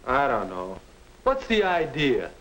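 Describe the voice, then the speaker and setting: A young man with an American accent playing a sullen, defensive high school boy with no aim, grumbling in a 1950 instructional film. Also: huge pitch swings, slow pace, fairly bright tone, full character voice. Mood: sullen, dismissive. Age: young adult. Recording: noisy, clicking 1950 film soundtrack.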